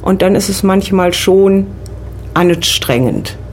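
Middle-aged woman, rhineland accent, riding teacher on radio, calm measured explaining, bit of hiss. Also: medium pitch. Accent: Rhineland German. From Rhineland, Germany. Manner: measured, explaining step by step with fillers. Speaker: riding instructor, studio guest. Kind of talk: radio interview answers. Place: radio studio.

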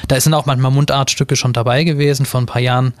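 Guy from an amateur theatre explaining stuff calmly, dry close sound. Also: mid-range voice, fast, no room sound. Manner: steady, explanatory, occasional fillers. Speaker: amateur theatre member, guest. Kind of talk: radio interview guest.

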